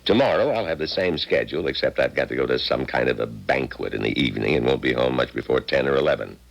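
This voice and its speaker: An actor playing an older, harried small-town doctor with a gravelly voice and a folksy, grumbling manner in a 1970s radio drama. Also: male voice, extremely low pitch, expressive, quick pace, full character voice. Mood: weary, firm. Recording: muffled old radio broadcast recording.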